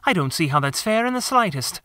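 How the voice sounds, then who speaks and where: A man's voice: sits high, big pitch swings, fairly quick, bright, forceful tone, dry recording, voice pushed up, exaggerated voice. A man voicing an indignant, stubborn young boy who argues and pleads, in an audiobook story.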